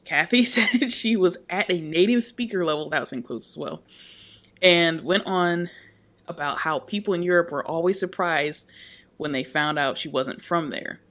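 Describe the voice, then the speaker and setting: A woman's voice: fairly low pitch, normal speed, a bit bright. A woman co-hosting a casual podcast, reading a forum post aloud with frequent laughs and chatty asides. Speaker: podcast co-host.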